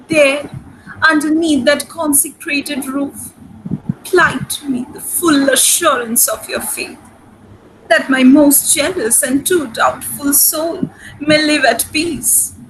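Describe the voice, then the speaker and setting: A woman's voice: high-pitched, slow pace, background noise. A woman playing an ardent, commanding young countess in a dramatic reading of Shakespearean comedy. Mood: eager.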